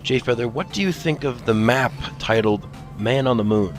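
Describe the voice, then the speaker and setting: A man reading questions as co-host of a fan Q&A in a playful, teasing, animated manner. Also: medium pitch, normal speed. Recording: faint music behind the voice.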